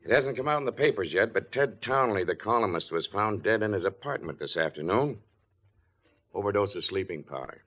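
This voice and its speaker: An actor playing a businesslike but easygoing police inspector, clipped and matter-of-fact, in 1940s radio style. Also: male voice, on the low side, expressive, fairly quick, a bit bright. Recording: vintage 1940s radio broadcast.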